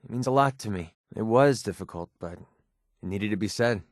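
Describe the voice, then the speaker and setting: A man's voice: fairly low pitch, fairly quick, no echo, close to an everyday voice. Man as a guarded, blunt mercenary, very dry recording. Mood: sincere, tender. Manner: blunt, cynical, earnest when opening up. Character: jaded young male wasteland mercenary, wounded and guarded. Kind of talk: video game voice acting.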